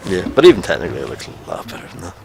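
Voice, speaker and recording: A man's voice: deep voice, wildly swooping pitch, racing, gravelly, darkish tone. A man chatting casually as he co-hosts a video game stream, with a wry, bantering manner. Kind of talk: casual chat while playing a video game. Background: video game music.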